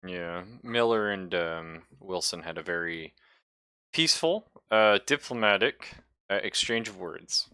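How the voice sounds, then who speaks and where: A man narrating a tabletop roleplaying game as game master in a casual, descriptive way, pausing as he searches for words. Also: sits low, lively intonation, bright, forceful tone, clean tone, no echo, clean studio sound.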